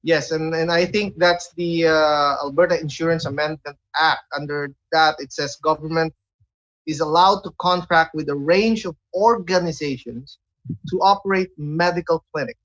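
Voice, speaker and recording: A man hosting a conversational radio interview, speaking haltingly and repeating words as he looks for phrasing. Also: fairly high pitch, fairly bright tone. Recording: dull, lo-fi audio.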